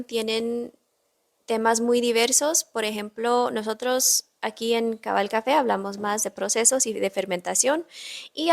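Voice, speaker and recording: A woman's voice: on the high side, vocal fry. A woman who grew up in the United States and speaks English natively, chatting casually as a podcast host with eager, restarting sentences. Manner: enthusiastic, with frequent restarts.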